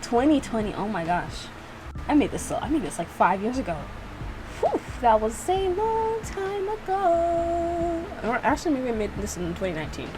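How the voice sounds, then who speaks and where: Lively young woman reacting, noisy recording. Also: high voice, normal speed. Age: young adult. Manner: giggly, self-mocking, repeats phrases. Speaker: gaming reaction video creator. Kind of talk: casual reaction commentary with lines read aloud.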